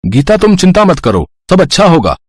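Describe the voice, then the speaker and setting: A woman voicing a calm, helpful young husband in a family story, polite and reassuring. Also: lowish voice, moderate pace, slightly rough, no room sound.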